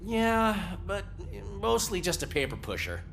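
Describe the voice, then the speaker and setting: An actor playing a good-natured desk police officer in a video game, chatty, wry and a little hesitant. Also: male voice, high-pitched, huge pitch swings, unhurried, fairly bright tone, slight background noise, exaggerated voice. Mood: helpful. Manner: hesitant, wry, eager small talk.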